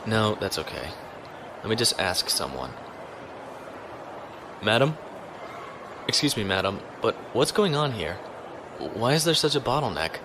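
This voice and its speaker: A low-voiced, calm space lieutenant. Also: male voice, measured pace, long pauses, noisy background. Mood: conversational. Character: calm male space navy lieutenant, courteous, dry. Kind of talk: audio drama acting with log narration.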